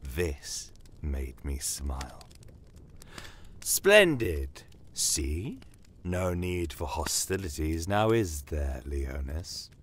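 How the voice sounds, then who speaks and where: Non-human commander for fantasy audio drama, male actor: very slow, measured, courteous menace, shrewd and bitter. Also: extremely low pitch, sing-song, breathy edge, heavily acted. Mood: courteous, persuasive. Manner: measured, theatrical, pointed rhetorical questions. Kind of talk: dramatic first-person audio story narration. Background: battle aftermath ambience.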